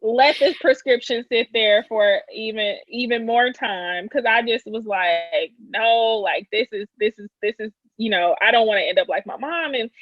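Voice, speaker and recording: A woman's voice: medium pitch, dry recording. Candid woman host, dry dull sound. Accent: Detroit. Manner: candid, reflective, many fillers. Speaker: podcast co-host. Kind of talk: casual podcast conversation.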